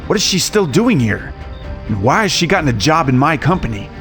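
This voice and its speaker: A man's voice: a little high, very animated, a little fast, fairly bright tone, faint music behind. A man playing a proud, brooding wealthy businessman in an audio drama. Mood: shocked, irritated. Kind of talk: dramatised audio series acting.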